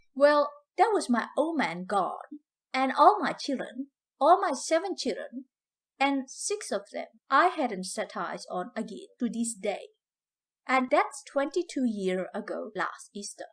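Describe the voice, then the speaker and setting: A woman's voice: a little high, slightly rough, heavily acted. A woman playing an older former slave from the American South, proud, fiery and grieving, telling her story in folksy dialect. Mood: anguished, defiant. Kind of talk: dramatic first-person storytelling in dialect.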